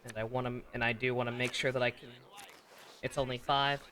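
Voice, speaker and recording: Bright-voiced, rambling male streamer. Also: medium pitch, flat delivery, fairly quick, slight background noise. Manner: rambling, enthusiastic. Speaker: game streamer. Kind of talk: casual livestream commentary.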